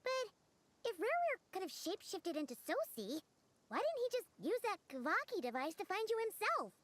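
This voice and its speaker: A woman's voice: very high-pitched, sing-song, moderate pace, fairly bright tone, heavily acted. A woman voicing a small, eager young companion with a chirpy, blunt, inquisitive way of talking. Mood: curious. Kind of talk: game voice acting.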